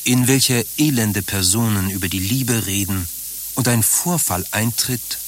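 Male actor as solemn formal narrator, unhurried delivery, scripted radio drama announcement, faint hiss. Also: on the low side, lightly acted. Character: formal old-fashioned male scene announcer. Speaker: scene announcer.

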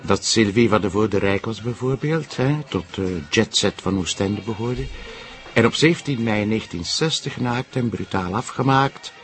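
Low-voiced, polite Flemish police sergeant, a man acting. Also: normal speed, a bit bright, close to an everyday voice. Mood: attentive. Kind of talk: TV crime drama dialogue.

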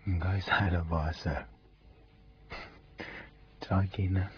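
A man's voice: low voice, steady tone, slow. A man playing a subdued, world-weary suspect in a radio drama, restrained, with a Hong Kong Cantonese accent. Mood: guarded. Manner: quiet, restrained, brooding. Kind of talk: 1990s radio drama acting. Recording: dull radio recording with music behind.

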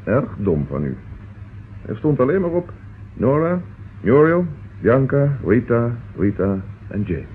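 An actor playing a shrewd, persistent police inspector in a radio drama, speaking with dry, controlled irony. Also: male voice, mid-range voice, sing-song, measured pace, long pauses, narrow, tinny audio. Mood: dry, probing. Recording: thin, noisy old radio recording.

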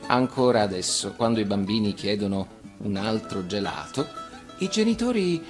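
A man reading a children's fairy tale for radio as an actor, in expressive, theatrical phrases with pauses. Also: lowish voice, music in the background.

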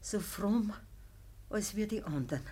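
A woman playing a dying, middle-aged peasant mother in a radio play, humble and sorrowful, speaking halting Bavarian dialect in a weak voice. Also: medium pitch, animated, mellow. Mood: wistful. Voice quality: husky, weak. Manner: halting, deferential.

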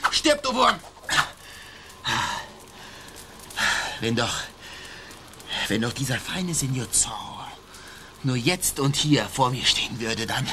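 Bright, blustering boastful sergeant. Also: male voice, medium pitch, very animated, measured pace, slight background noise, full character voice. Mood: boastful, fiery. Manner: swaggering, declamatory, bombastic. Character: boastful, blustering Spanish colonial army sergeant. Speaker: actor in a radio play. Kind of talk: theatrical German radio play acting.

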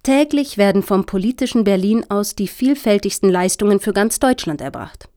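An actress playing an earnest, officious lobbyist in a satirical radio play, talking in formal, deliberate, speech-like sentences. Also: female voice, mid-range voice, animated, warm tone, close to an everyday voice. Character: earnest, officious female lobbyist pitching for funding.

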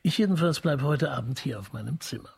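An actor playing a cultured, pedantic and bossy police inspector in a radio crime comedy, methodical and dryly ironic. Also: male voice, medium pitch, animated, fast, vocal fry, a little mellow, slightly rough, soft background music, full character voice. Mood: methodical, brisk.

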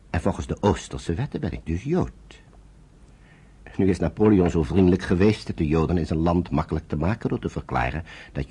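An actor playing a retired general in a radio drama, older, courteous and reflective, speaking formally and deliberately. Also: male voice, sits low, warm tone, slightly rough, full character voice. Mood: earnest, reflective. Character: thoughtful retired English general, courteous and introspective.

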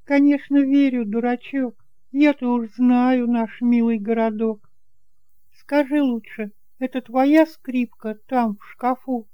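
A man's voice: very high-pitched, steady tone, slow, clean tone, no room sound, highs cut off, voice pushed up. A man voicing a playful, coquettish young wife in an audio drama, with a teasing, coaxing manner.

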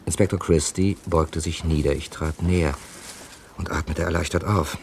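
A man acting a wry first-person narrator and protagonist in an old German radio crime play, measured when telling, hesitant when protesting. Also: deep voice, steady tone, creaky, darkish tone. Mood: dry, suspenseful narration. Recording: old radio play, faint background noise.

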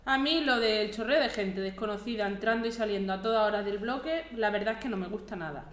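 A woman's voice: medium pitch, quick pace, natural voice. A woman with a Spanish accent from Spain playing a suspicious, insistent, scandalised neighbour in a radio drama. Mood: complaining. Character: indignant, suspicious female neighbour, stirring up trouble. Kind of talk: acted community radio drama. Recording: roomy, echoing sound.

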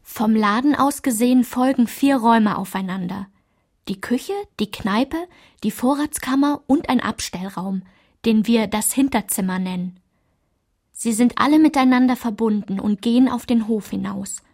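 A woman playing a daughter soberly recounting her childhood in a radio play, measured and restrained, with a breathy, husky voice. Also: fairly high pitch, darkish tone. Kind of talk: literary radio play narration.

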